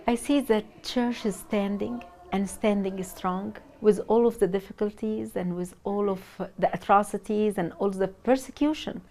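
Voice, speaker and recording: Earnest Egyptian-born woman, mellow voice. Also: mid-range voice, moderate pace, hardly any pauses. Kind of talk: interview.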